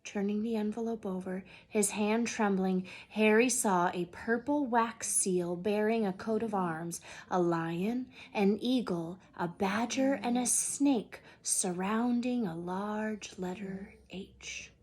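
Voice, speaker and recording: A woman gives an expressive read-aloud of a children's story. Her delivery is unhurried, and her medium-pitched voice has a soft husky grain. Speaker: teacher reading aloud.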